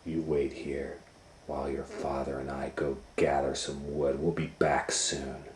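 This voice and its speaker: A man's voice: extremely low pitch, little pitch movement, unhurried, a little mellow. A man voicing a scheming, bossy woodcutter's wife in a children's fairy tale, with false sweetness. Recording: roomy echo, faint background noise.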